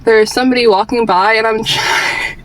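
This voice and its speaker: A young woman around twenty shares personal advice as a vlogger. Her voice sits a little high. She talks informally and earnestly, wandering through her thoughts and repeating herself for emphasis. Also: fairly even intonation, a little fast, a bit bright, rough recording. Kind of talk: candid personal advice monologue to camera.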